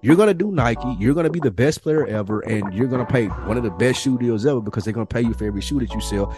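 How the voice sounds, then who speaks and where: A man's voice: mid-range voice, expressive, fast talker, music in the background. A man hosting a podcast in casual, emphatic conversation, telling stories with frequent swearing. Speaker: podcast host. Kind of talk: casual chat.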